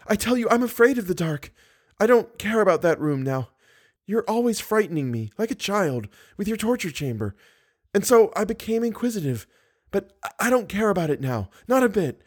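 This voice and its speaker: Man putting on a high-pitched, pleading young woman. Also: very animated, fairly quick. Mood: frightened. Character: frightened, pleading young woman held captive.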